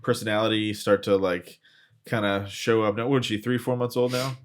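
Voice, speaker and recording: Dad from florida on a podcast, kinda low voice, easygoing and rambly. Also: male voice, a little fast. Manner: relaxed, rambling, self-deprecating. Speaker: podcast co-host. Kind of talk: casual chat.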